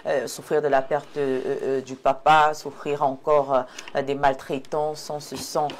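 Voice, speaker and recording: A woman's voice: low-pitched, flat delivery, a little slow. A woman hosts and moderates a live debate among panelists. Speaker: host. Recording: faint background noise.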